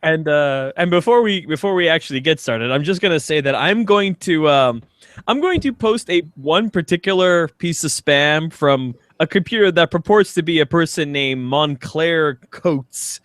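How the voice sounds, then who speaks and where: A man's voice: a little high, lively intonation, moderate pace, a bit bright, no room sound. A man hosting a comedy podcast, bantering casually and teasingly and reading things out for laughs. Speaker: podcast host.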